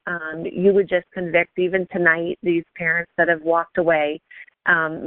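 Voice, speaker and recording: Even-toned woman praying over the phone. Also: on the low side, dry recording. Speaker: prayer participant on a group call. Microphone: telephone on a conference call. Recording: thin tinny phone line.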